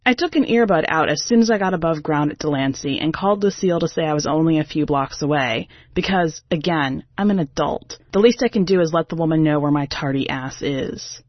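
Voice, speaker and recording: A woman's voice: deep voice, fairly quick, few pauses, no room sound, lo-fi sound. A woman narrating a short story as a brash, defensive, sarcastic female singer, in a wry, exasperated, conversational way. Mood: sardonic, confiding.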